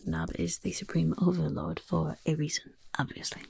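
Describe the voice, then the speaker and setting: A woman voicing a scheming little goblin overlord who interviews recruits in a soft-spoken ASMR roleplay, whispering smugly. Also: low voice, level tone, normal speed, heavily acted. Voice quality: gravelly, breathy whisper. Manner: whispery, smug, mischievous questioning. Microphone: close ASMR mic.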